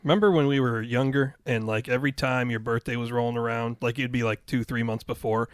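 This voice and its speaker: A man around forty in relaxed podcast banter, playing the calmer straight man and teasing his friend. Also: mid-range voice, brisk, clear, resonant tone, no room sound, studio quality. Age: 40s. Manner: easygoing, plays straight man, mild teasing. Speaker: podcast co-host. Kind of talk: casual banter.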